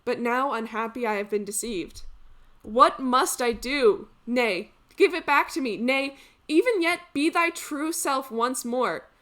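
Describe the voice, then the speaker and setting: A woman playing a betrayed, wretched male exile in a Greek tragedy monologue, declaiming archaic lines in drawn-out anguish. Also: high voice, fairly bright tone, voice pushed up. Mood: wretched, bitter. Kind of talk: theatrical classical tragedy monologue.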